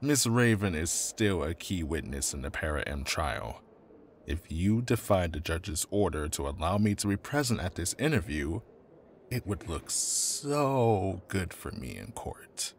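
A man's voice: deep voice, sing-song, unhurried, slight background noise, full character voice. A man playing a slick, smug corporate defense attorney in an audio drama, taunting with mock friendliness.

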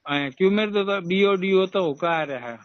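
A man's voice: high voice, normal speed, clear, resonant tone, no echo. A man with a Haryanvi accent speaking as an official in a casual, insistent phone conversation, talking in roundabout hints. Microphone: phone call recording. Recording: dull lo-fi recorded phone call.

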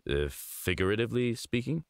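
A man voicing a loyal, dutiful knight retainer in a fantasy game, formal and courteous with a deadpan streak. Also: medium pitch, fairly quick, gravelly, clean tone, no echo, studio quality. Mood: earnest, persuasive. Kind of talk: fantasy game character acting.

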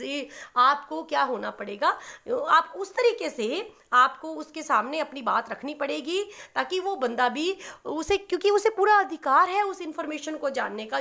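A young woman teacher explaining handwritten notes, mixing Hindi and English, reading dates slowly with many fillers. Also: sits high, a bit bright. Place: indoors at a desk. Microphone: phone camera over notebook.

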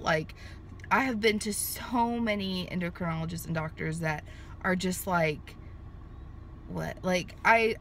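A woman's voice: medium pitch, fairly bright tone, a little hiss or hum. A woman in her thirties chatting to a phone camera from a parked car, rambling with lots of fillers and restarts. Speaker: vlogger. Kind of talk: casual chat to camera. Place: parked car in a sunny lot. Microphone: handheld phone at arm's length.

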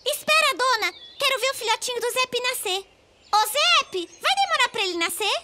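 A woman voicing an excitable, curious little girl in a children's cartoon. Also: very high-pitched, normal speed, noisy background. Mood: eager, curious. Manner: eager, exclamatory. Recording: music under the voice.

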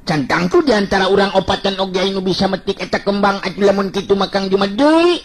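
A man voicing a cheeky young martial hero in a radio story, teasing and bantering excitably. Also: high voice, a little fast, faint music behind, pitched above the performer's own voice. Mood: curious.

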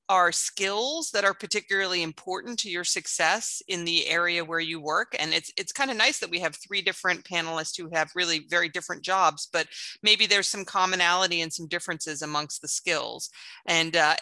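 Bright, low-voiced woman moderating. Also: fairly quick, no echo, low-quality recording. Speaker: panel moderator. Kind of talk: moderating a discussion. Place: indoors, home room. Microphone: headset on video call.

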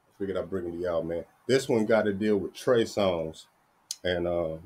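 A middle-aged man from the Dallas area hosts an entertainment news stream from a small room, speaking through a webcam mic with headphones on. His voice sits a little low, and his delivery is relaxed and conversational, full of "man" and "you know what I'm saying.". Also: normal speed. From Dallas–Fort Worth area, Texas. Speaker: host of entertainment news channel. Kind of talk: casual news chat to viewers.

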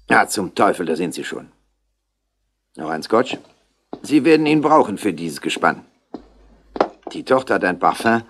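Suave, low-voiced Riviera police official played by a man. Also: slight background noise, lightly acted. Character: worldly Riviera police official, discreet, sardonic, hospitable. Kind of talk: 1960s German radio crime drama acting.

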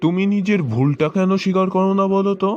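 A man's voice: high voice, fast, highs cut off, noisy background, natural voice. A man playing an exasperated, questioning character in comic cartoon skits, speaking in a declamatory way. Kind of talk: cartoon joke skit voice-over.

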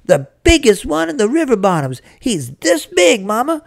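A narrator voicing an excited, earnest young farm boy in a storybook, with stammering exclamations. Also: mid-range voice, moderate pace, creaky, no room sound, studio quality, voice pushed up. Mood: excited, proud.

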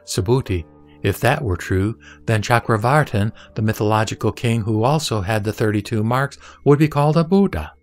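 A man playing the Buddha as a serene teacher, speaking in a measured, solemn way in an audio drama of scripture. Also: on the low side, close to an everyday voice. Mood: gently correcting. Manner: measured, solemn, didactic delivery. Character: the Buddha, serene male teacher instructing a disciple. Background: background music.

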